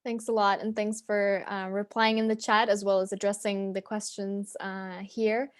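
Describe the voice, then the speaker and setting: A woman moderating an online panel, brisk and polite as she hands over questions. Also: mid-range voice, flat delivery.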